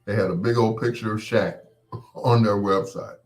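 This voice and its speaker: A man's voice: on the low side, steady tone, moderate pace. A man hosting a show solo, rambling casually to his listeners in a folksy, playful, anecdotal way. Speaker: host of a late-night show.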